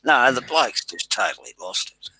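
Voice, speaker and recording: A man's voice: mid-range voice, lively intonation, moderate pace, pushed and bright, breathy edge, no echo, low-quality recording. A man, hosting a casual live chat in a blunt, mocking way, swearing freely. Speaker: channel host.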